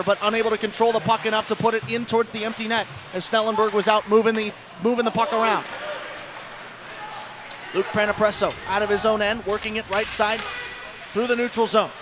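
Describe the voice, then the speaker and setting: A man giving live ice hockey radio commentary as play-by-play announcer, excitable and ready to raise his voice on chances. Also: high voice, fairly quick, background noise.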